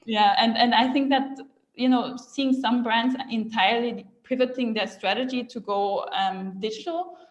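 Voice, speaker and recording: A young woman from Austria talks in a relaxed, chatty way, laughing often and filling gaps with "um" and "like". Her voice sits a little high. The room around her echoes noticeably. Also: moderate pace, lo-fi sound. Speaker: guest speaker. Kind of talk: casual chat.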